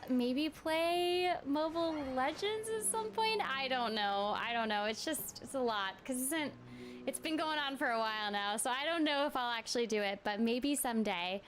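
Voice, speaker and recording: A woman's voice: high voice, moderate pace. A woman chatting casually with viewers on a game stream, self-deprecating and full of fillers. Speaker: streamer and voice actor. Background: game music.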